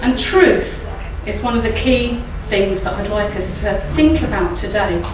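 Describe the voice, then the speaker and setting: A middle-aged woman with a croaky voice speaks at a church service from a lectern mic, chatty and often asking the audience tag questions. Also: medium pitch, animated, measured pace, crowd noise. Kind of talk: informal talk at a church service. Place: church hall, indoors. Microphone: standing mic at a lectern, filmed by a far camera. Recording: echoey hall, recorded from a distance.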